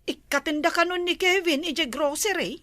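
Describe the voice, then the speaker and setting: A woman's voice: very high-pitched, normal speed, fairly bright tone, no echo, clean studio sound. A young woman playing a gullible village sweetheart who talks in a bubbly, easily surprised way. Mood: chatty.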